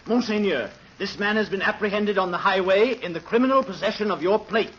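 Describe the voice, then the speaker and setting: A man's voice: high-pitched, a little fast, a bit bright. An actor playing a stern, officious man of authority in an old radio drama, with a formal, declamatory delivery. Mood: commanding. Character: stern, officious male authority, policeman and presiding judge. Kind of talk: 1930s radio drama acting. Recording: muffled old radio recording, reverberant, hissy.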